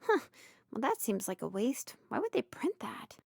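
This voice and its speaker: A naive young woman student from a visual novel, with a high, swooping voice. She is blunt and playful, tossing out casual, flippant exclamations in a close, dry sound. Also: very animated, normal speed, vocal fry, a little mellow, slightly rough, no echo, full character voice.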